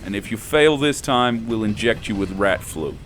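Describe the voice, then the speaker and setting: A man's voice: lowish voice, expressive, moderate pace, fairly bright tone, a little hiss or hum. A man playing a surly, scornful bully in a gang of science thugs in an audio drama. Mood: menacing. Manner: curt, scornful.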